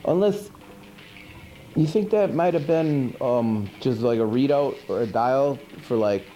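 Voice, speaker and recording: A man playing a goofy, good-natured monster who hosts a horror movie show, rambling casually and hesitantly with deadpan asides. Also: a little slow, lightly acted. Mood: puzzled, rambling. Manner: rambling, hesitant, laid-back deadpan asides with pitch swoops. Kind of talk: casual comic hosting monologue. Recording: dull noisy 1980s VHS tape.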